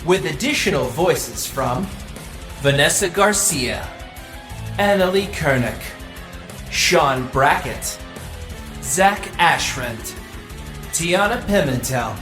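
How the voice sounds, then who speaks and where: A man reading end credits in a slow, steady manner. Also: fairly high pitch, echoey room, soft background music. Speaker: credits announcer.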